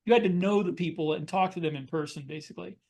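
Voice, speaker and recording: A man with a somewhat high-pitched voice talks as a podcast co-host. His style is casual and reflective, like someone thinking back over his own experience among colleagues. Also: quick pace, gravelly, a little mellow.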